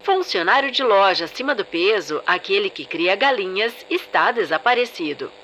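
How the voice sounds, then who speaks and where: A woman's voice: mid-range voice, animated, moderate pace, a bit bright, a little hiss or hum, natural voice. A woman playing a radio newsreader in an audio drama, announcing strange disappearances in an emphatic, formulaic way. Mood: ominous announcing. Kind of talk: dramatized radio news bulletin.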